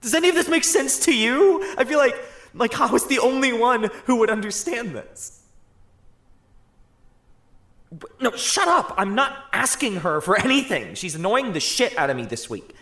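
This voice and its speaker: A man's voice: very high voice, sing-song, fairly bright tone, reverberant, exaggerated voice. An actor playing a young man falling apart alone, stammering, swearing and near tears. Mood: distressed. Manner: halting, stammering, swearing, voice cracking.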